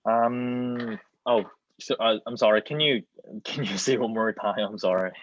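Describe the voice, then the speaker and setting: A man's voice: fairly low pitch, steady tone, unhurried, no echo. A young man from South Korea with a Korean accent, answering hesitantly and searching for words on a video call. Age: young adult. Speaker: student panelist, aspiring actor. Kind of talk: unscripted discussion answer. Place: plain room indoors, video call. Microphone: wireless earbuds on video call. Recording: dull, lo-fi video call audio.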